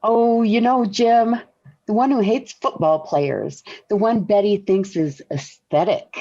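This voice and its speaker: An actress playing a gentle, sensible, middle-aged American wife and mother in a 1940s radio sitcom, speaking in a motherly way. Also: medium pitch. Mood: puzzled, patient. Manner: measured, motherly. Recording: dull vintage 1940s radio recording.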